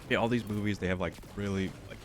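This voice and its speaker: A man's voice: fairly low pitch, fairly even intonation, fast talker, slight background noise. A young man with a stuffy, slightly nasal voice, chatting casually and rambling with lots of fillers while streaming a game. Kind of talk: casual chat while gaming.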